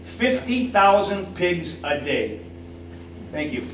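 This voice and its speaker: A man's voice: high-pitched, big pitch swings, slow. A man giving impassioned, emphatic public comment, repeating points for stress. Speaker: citizen speaker at a public council meeting. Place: indoor council meeting room. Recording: echoey meeting room, distant mic, noisy hum.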